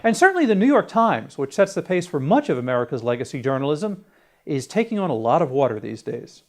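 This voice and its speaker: A man's voice: on the high side, sing-song, fast talker, slight background noise. A man narrating a scripted video essay as its host, reading in the style of political media commentary.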